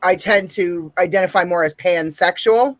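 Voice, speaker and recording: Blunt, deep-voiced woman host. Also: moderate pace, dry recording. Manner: blunt, profane, laughs often. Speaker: podcast host. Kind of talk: casual interview chat.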